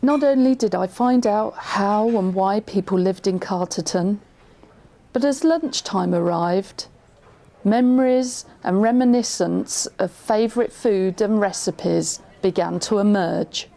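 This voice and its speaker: A woman's voice: medium pitch, measured pace, mellow, natural voice. A woman reading scripted narration as the narrator.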